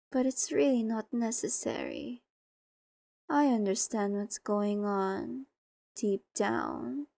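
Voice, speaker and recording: A woman's voice: on the high side, creaky, mellow, no echo, exaggerated voice. A woman playing a seductive, possessive, ancient vampire mistress in an ASMR roleplay, coaxing and condescending, sometimes slipping into whispers. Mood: charmed, teasing. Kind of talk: ASMR roleplay acting, addressed to the listener.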